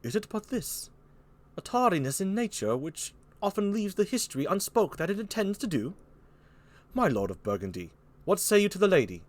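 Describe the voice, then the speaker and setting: A man's voice: high voice, big pitch swings, moderate pace, pitched above the performer's own voice. A man playing a cool, gallant foreign king in a stage tragedy, attempting a British accent. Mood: gallant, questioning. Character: cool, gallant young king of France, questioning.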